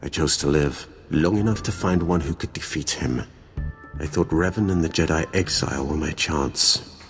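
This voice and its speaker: An actor playing an ancient, proud Sith lord in a video game, speaking in a formal, solemn and disdainful manner. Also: male voice, a bass voice, normal speed, soft background music. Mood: solemn recounting. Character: ancient, grim, proud Sith lord, three centuries old. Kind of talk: dramatic video game voice acting.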